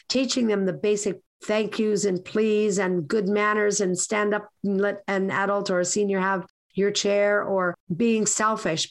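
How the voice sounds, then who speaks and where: A raspy, hoarse woman hosts her podcast, talking through advice in an earnest, meandering way. Her pitch sits in a middling range. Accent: Canadian. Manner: earnest, lecturing, rambling. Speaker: podcast host. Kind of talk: casual advice talk.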